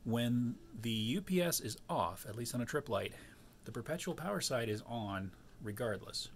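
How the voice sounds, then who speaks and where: A man's voice: medium pitch, lively intonation, normal speed, a little hiss or hum. A man giving a casual, unscripted hands-on DIY tutorial in an explanatory way, recorded indoors on a handheld camera. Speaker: DIY tinkerer presenting his own modification. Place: indoors at a wooden table.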